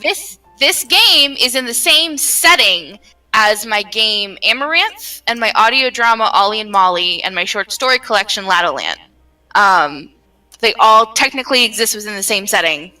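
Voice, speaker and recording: A woman's voice: medium pitch, lively intonation, normal speed, bright, forceful tone, recorded from a distance, soft background music, low-quality recording. A woman in a casual chat about her creative projects, rambling and circling back on her words as she goes. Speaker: game developer, writer and voice actor.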